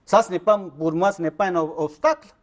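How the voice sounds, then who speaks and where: A man, speaking in a fairly high voice. He argues insistently as an interview guest, circling back to check that he is understood. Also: fairly quick. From Pakistan. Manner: insistent, repeats "vous comprenez?". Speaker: Ahmadi missionary guest. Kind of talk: religious interview argument.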